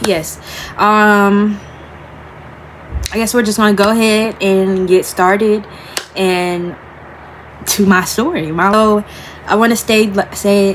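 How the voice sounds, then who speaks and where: A young woman from Florida speaks to her viewers at home in a hesitant, halting way, filling her pauses with "um" and "like". Her voice sits at an ordinary middle pitch. A faint hiss of background noise sits under her voice. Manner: halting, many fillers and restarts, keeps asking "you feel what I'm saying". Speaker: vlogger. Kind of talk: casual storytime vlog.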